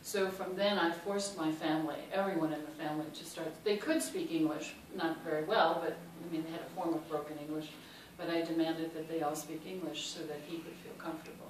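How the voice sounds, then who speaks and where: Woman w/ chicago accent, lowish voice, storytelling on a panel, lots of ums, echoey hall, mic far away, some noise. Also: fairly even intonation, fairly quick, few pauses. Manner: warm and anecdotal, many ums and uhs. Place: large hall.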